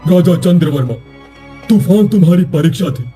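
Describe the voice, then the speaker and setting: A man playing a mysterious wandering fakir in a dramatic story, solemn, prophetic and deliberate. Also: sits high, dark tone, soft background music, natural voice.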